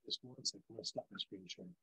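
A man with a gravelly voice presenting a casual online session for teachers over a video call, with loose, filler-heavy talk. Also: low voice, rapid-fire, bright, forceful tone, rough recording. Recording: video call audio, volume dropping in and out.

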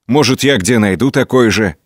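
A man's voice: mid-range voice, normal speed, no echo, clean studio sound, natural voice. Man voicing a meek young wandering lad. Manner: plain, mild, agreeable. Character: meek, quiet young wandering peasant lad seeking work.

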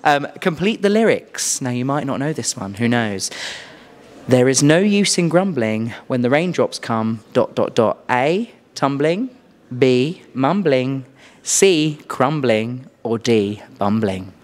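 A young adult man with a British accent hosting a playful quiz interview in an animated, exclaiming way into a handheld microphone. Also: medium pitch, slow pace. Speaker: host, quizmaster. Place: small furnished indoor room.

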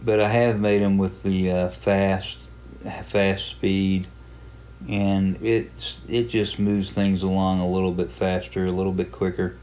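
A man giving a casual, unhurried tutorial narration, explaining a hobby software program step by step in plain terms. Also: deep voice, level tone. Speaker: amateur radio hobbyist presenting a software walkthrough.

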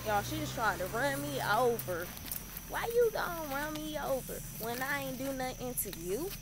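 A woman's voice: sits high, sing-song, moderate pace, noisy background. A young woman vlogging casually to a phone at arm's length, playful and teasing, in a car and then a small room. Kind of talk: casual chat to camera. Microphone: phone camera at arm's length.

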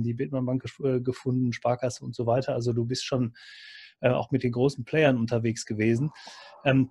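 A man's voice: mid-range voice, darkish tone, husky, no echo, clean studio sound. A man hosting a podcast interview, asking conversational questions in a wordy, discursive way. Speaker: podcast host.

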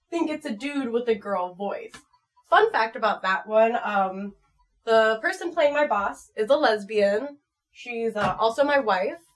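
An American woman in her twenties chatting casually to viewers in a playful, frank and slightly crude way. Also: on the high side. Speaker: channel performer reading viewer comments. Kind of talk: casual vlog chat. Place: small home room at computer desk.

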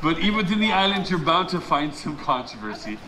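A man telling stories live to guests in a playful, folksy showman's way, with dramatic pauses. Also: on the high side, big pitch swings, a crowd in the background. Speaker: resort storyteller. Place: outdoor poolside deck at a resort. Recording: echoey live outdoor sound, music behind. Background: musical instrument.

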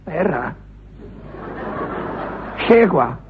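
A stammering bumbling inspector. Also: male voice, fairly high pitch, big pitch swings, very slow, noisy background, heavily acted. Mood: flustered. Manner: hesitant, stammering, full of "eh". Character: bumbling hapless male inspector turned inmate. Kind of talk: comic radio play acting. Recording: dull lo-fi radio recording.

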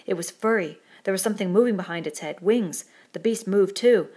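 A woman's voice: medium pitch, a little fast, darkish tone, slightly rough, natural voice. A woman voicing a frightened twin boy in an audiobook, telling things in breathless, alarmed short bursts. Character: Sam and Eric, frightened breathless twin boys. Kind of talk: audiobook character dialogue.

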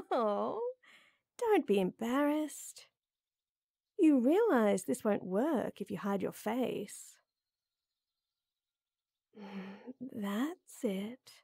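A woman playing a tall, tender, teasing lady knight in an intimate roleplay audio, coaxing softly. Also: on the high side, animated, extremely slow, long pauses, no room sound, clean studio sound, full character voice. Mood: flirty, teasing. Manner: soft, teasing, coaxing, with breathy near-whispers.

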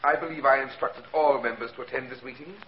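An actor playing a suave, murderous gang boss in 1940s radio style, with precise, mock-courteous formality. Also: male voice, mid-range voice, steady tone, quick pace, close to an everyday voice. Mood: smooth, formal. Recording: muffled, noisy 1940s radio recording.

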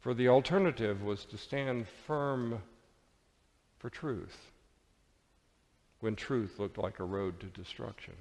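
A man preaching a sermon with deliberate, dramatic phrasing and pauses. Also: fairly low pitch, a little mellow. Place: large church sanctuary with congregation. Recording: reverberant church hall.

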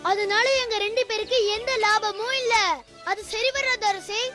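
A woman's voice: extremely high pitch, steady tone, normal speed. A woman voicing a boastful, argumentative young brother in a cartoon. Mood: cocky, argumentative. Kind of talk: cartoon dubbing. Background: loud music.

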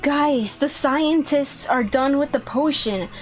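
A woman's voice: high-pitched, takes it slowly. A young woman playing a sweet, anxious villain girlfriend in an improvised video game roleplay. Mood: excited, tired. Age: young adult. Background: music.

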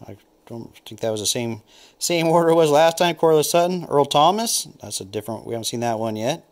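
A man's voice: fairly high pitch, expressive, brisk. A man opening packs of football trading cards, thinking aloud and naming each card as he flips through them. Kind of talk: casual unboxing commentary.

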